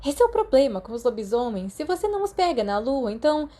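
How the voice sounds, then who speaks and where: A woman's voice: sits high, sing-song, quick pace, dark tone, voice pushed up. A thoughtful teenage girl played by a woman reader with a Brazilian accent. Her voice is raised and light, matter-of-fact but wary as she reasons aloud in storybook style. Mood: pensive, wary.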